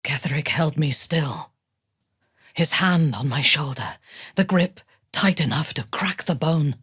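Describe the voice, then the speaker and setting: An imperious dark elf commander from a fantasy game. Her voice is low and husky, close and dry, and she speaks deliberately with haughty menace, her cold lines swinging widely in pitch. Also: expressive, dry recording, heavily acted. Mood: bitter, brooding. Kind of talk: dramatic video game acting.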